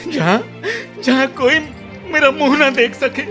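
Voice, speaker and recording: A man playing a remorseful, tearful younger brother in a radio drama, pleading haltingly and repeating his words. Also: very high voice, fairly even intonation. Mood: agitated, pleading. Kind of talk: Hindi radio drama acting. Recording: music under the voice.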